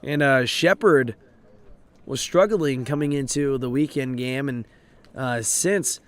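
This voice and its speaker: A man calling a college baseball game play by play, his voice raspy and hoarse from overuse, steady and informative, and lifting with the action on the field. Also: mid-range voice. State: hoarse, voice drained. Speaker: play-by-play announcer. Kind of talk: live baseball play-by-play commentary.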